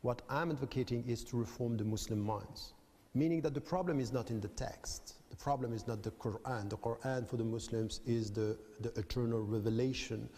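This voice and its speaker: A man from Switzerland answering as a guest academic, explaining in a measured way and repeating phrases for emphasis. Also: mid-range voice, dark tone. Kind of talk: debate answers. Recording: long hall reverb.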